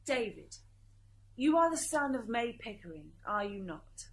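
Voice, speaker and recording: A woman's voice: fairly high pitch, very animated, unhurried. A woman playing a composed, probing courtroom lawyer in an amateur radio drama. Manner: formal, questioning.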